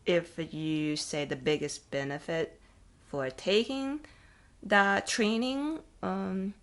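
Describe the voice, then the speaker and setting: A woman's voice: mid-range voice, slow pace, slight background noise. A woman host from Taiwan with a Taiwanese accent, asking thoughtful questions in a casual interview, with small grammar slips. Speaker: podcast host.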